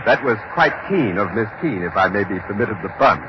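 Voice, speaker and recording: A man's voice: fairly low pitch, animated, close to an everyday voice. Actor as American newsman on dull old radio. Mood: hushed, genial. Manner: measured, clearly projected announcing. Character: earnest male radio newsman reporting live from theater. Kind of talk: 1940s-50s radio news commentary. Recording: dull, noisy vintage radio recording.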